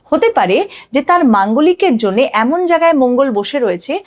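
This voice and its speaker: A woman's voice: sits high, expressive, normal speed. A woman explaining like an astrologer on a podcast, emphatically asking and answering her own questions and mixing in English phrases.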